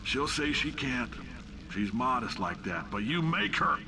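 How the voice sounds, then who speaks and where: An actor playing a brash, ranting older company boss in a comedic video game, speaking in emphatic announcements. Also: male voice, medium pitch, animated, measured pace, lightly acted. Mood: brisk, insistent. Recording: heavy noise behind game audio.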